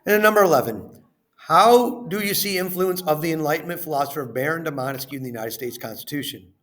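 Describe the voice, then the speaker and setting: A man reading a lesson and worksheet questions aloud as a teacher, in a deliberate, instructional way, stressing phrase openings and trailing off at the ends. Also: a little high, lively intonation, a bit bright.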